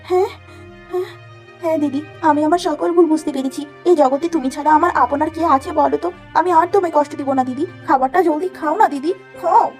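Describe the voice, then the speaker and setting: Woman doing a shrill, spiteful younger sister. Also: brisk, darkish tone, faint music behind, lightly acted. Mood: sugary. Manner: shrill, bossy, scornful.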